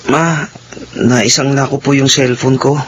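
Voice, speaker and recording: A man's voice: medium pitch, fairly even intonation, unhurried, slight background noise, lightly acted. An actor playing a careless young son who stammers and apologizes to his mother in a radio drama. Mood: sheepish. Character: teenage son, sheepish and careless.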